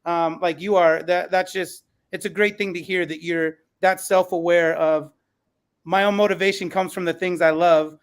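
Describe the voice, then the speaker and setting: A man's voice: sits high, fairly even intonation, normal speed. A man who hosts a podcast and teaches, moderating a panel with encouraging, affirming replies to his guests. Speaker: podcast host and school teacher.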